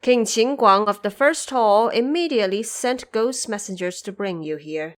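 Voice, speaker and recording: A woman's voice: medium pitch, normal speed, lightly acted. A courtly dead official, acted out by a woman in an audio drama. He serves as a judge of the underworld and addresses his superior with humble, formal deference. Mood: humble, apologetic.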